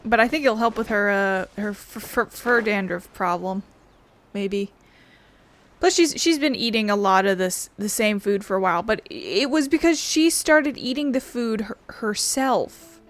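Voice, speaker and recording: A woman's voice: medium pitch, expressive, a little slow. A woman streaming a game, chatting casually in a rambling, blunt way. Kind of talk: casual gameplay chat. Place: indoors at home. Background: game music.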